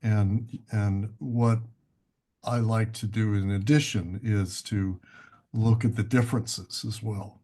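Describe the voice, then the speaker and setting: A man from the United States, an author being interviewed, answering in a measured, explanatory way over a video call. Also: on the low side, steady tone, dark tone. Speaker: author and guest.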